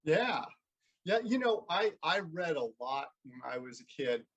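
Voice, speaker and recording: A man hosting a casual interview, asking questions in a halting way and repeating words as he forms them. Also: on the high side, big pitch swings, fairly bright tone, breathy edge, muffled recording. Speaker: interviewer, host.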